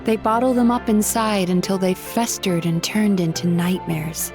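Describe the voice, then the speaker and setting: Give me an actress as a gentle game spirit woman, soft-spoken and reflective, medium pitch, with music underneath. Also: moderate pace, natural voice. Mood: wistful, reflective. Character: gentle, wistful dream-eating spirit woman who practices psychology. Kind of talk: scripted video game character dialogue.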